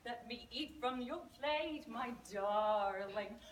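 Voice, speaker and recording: A woman voicing a small green male frog who pleads in a croaky, sing-song voice. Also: a little high, slow, roomy sound, far from the mic, slight background noise. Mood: pleading sing-song.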